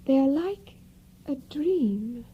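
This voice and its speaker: An actress playing a frail, anxious young gentlewoman in an audio drama, with a breathy, hesitant, languid delivery. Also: sits high, lively intonation, takes it slowly, a little hiss or hum. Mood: uneasy. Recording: muffled, dull recording.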